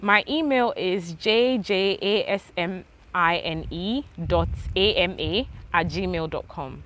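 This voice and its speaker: A woman's voice: fairly low pitch, a little hiss or hum. A young woman with a mixed Ghanaian, English and Australian accent, chatting casually outdoors as she answers viewers' questions live. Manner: chatty, relaxed, thanks viewers, spells things out slowly. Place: outdoors under a palm tree.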